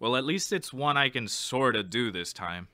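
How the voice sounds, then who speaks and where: A man's voice: mid-range voice, expressive, moderate pace, pushed and bright, dry recording, studio quality. A man playing a reluctant but good-natured villager in a comic audio sketch.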